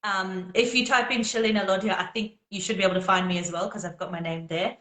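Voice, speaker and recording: A woman's voice: medium pitch, steady tone, fast talker, a bit bright, roomy sound. A woman whose first language is Gujarati, chatting as a guest on a live video call, talking in an earnest, encouraging way and laughing often. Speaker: guest singer. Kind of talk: casual live video chat.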